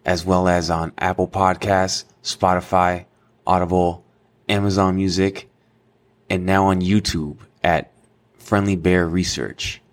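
A man reads the scripted outro of his podcast in a steady, even delivery. His voice is very deep and stays flat in pitch. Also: unhurried. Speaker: podcast host.

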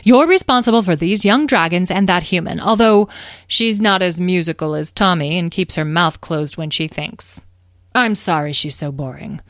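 A woman's voice: lowish voice, moderate pace, fairly bright tone, dry recording, studio quality, natural voice. Bossy snooty fairy leader done by a lady narrator, super dramatic and sarcastic, storybook style. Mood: bossy, excited. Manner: grandiose, gushing, emphatic, sarcastic. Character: bossy, theatrical, snobbish fairy leader with biting wit.

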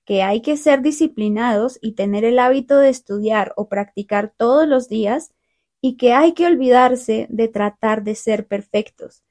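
A young woman hosting a learner podcast, talking through a calm, clear, scripted monologue. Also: fairly high pitch. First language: Spanish. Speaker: podcast host.

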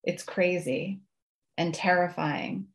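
Lower-pitched woman author. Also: steady tone, slow, gravelly. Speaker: guest author. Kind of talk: casual interview chat, then reading own writing aloud.

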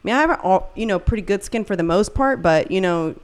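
A woman's voice: mid-range voice, expressive, a little fast. A woman in her late thirties chatting casually and informally. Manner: informal, conversational. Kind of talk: casual chat.

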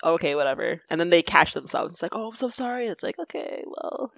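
A woman joining a casual chat with dry, quick asides and laughs. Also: on the low side, very animated, creaky, pushed and bright, no room sound. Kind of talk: casual conversation.

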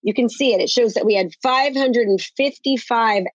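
A woman with a raspy voice, a real estate coach talking with energy and emphasis in a podcast interview. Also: fairly high pitch, moderate pace, dry recording, lo-fi sound. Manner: energetic, emphatic, many restarts.

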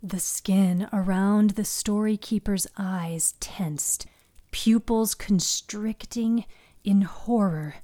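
Slow, mellow female story narrator. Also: mid-range voice, steady tone. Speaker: writer, narrator and producer. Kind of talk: scripted spooky fiction narration.

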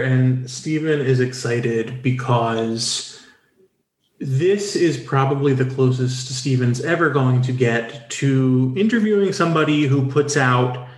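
Chatty male podcast host in an echoey room. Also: medium pitch. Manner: chatty, hesitant, lots of fillers and restarts. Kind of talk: casual podcast interview.

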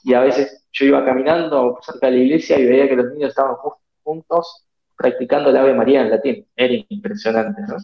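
South American missionary priest, gravelly, earnest interview answers, dull echoey audio. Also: male voice, mid-range voice, steady tone. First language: Spanish. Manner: earnest, reflective, many pauses and fillers.

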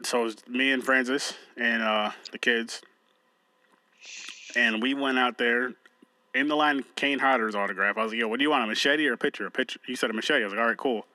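A man with a bright, forceful voice recorded close and dry, co-hosting a podcast in loose, joking banter. He talks casually and swears freely. He moves between lists and anecdotes in a relaxed, profane way. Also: mid-range voice, a little fast, dry recording, rough recording. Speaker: podcast co-host. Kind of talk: casual chat.

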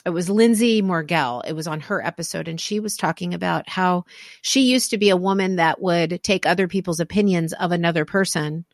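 A woman hosts a podcast interview, her voice sitting fairly low, and she reflects back on what her guest says with warm affirmation. She often restarts her sentences and fills pauses. The sound is dry and close, with almost no room echo. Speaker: podcast host. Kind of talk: conversational interview.

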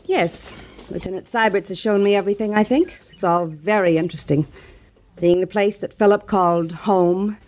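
A woman's voice: medium pitch, normal speed, a little mellow, close to an everyday voice. Woman acting proud bitter widow in an old 50s radio western, very formal and cutting. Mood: cold politeness. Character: proud, determined, bitter army captain's widow from back east. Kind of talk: 1950s radio drama acting.

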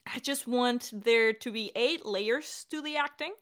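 Chatty, higher-voiced woman hosting a casual Q&A. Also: unhurried, a bit bright. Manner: chatty, enthusiastic, giggly. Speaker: audio drama director and voice actor, hosting a Q&A. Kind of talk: casual unscripted Q&A chat.

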